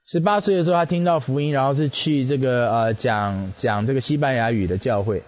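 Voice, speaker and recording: A man hosting a radio interview, relaying each of the guest's answers at a measured pace with frequent filler pauses. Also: medium pitch, muffled recording.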